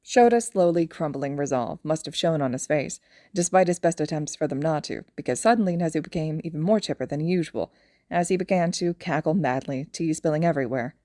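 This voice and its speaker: Woman reading fanfic aloud, mellow lowish voice, scripted narration, really dry close sound. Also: brisk, dry recording. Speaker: audio fan fiction narrator.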